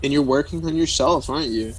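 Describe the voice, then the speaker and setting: A man's voice: mid-range voice, fast, slightly rough, close to an everyday voice, poor audio. An actor playing a blunt, flirtatious man who talks like a probing amateur therapist in an audio drama. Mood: earnest, explanatory. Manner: frank, probing, explanatory.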